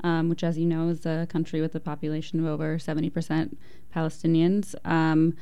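Low-voiced woman, hesitant interview guest. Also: completely flat delivery, brisk, mellow, smooth. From Ohio. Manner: hesitant, many ums and likes. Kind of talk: casual interview answers.

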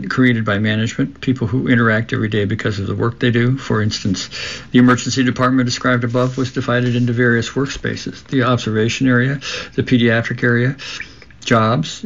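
Male radio presenter, creaky mid-range voice, measured scripted read with small stumbles, slightly muffled sound. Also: mid-range voice. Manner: measured read-aloud delivery with occasional stumbles. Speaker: radio host reading a book aloud. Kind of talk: scripted reading for a labor radio show.

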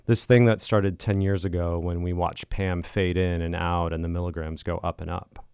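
Low, creaky-voiced man reading an essay. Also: flat delivery, moderate pace, a little mellow, dry recording, studio quality. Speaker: listener contributor reading own writing. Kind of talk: reading a personal literary essay aloud.

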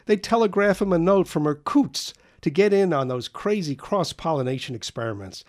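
A man's voice: mid-range voice, animated, a little fast, close to an everyday voice. A man reading an audiobook voices Eddie, a bitter young man who rants crudely and mockingly.

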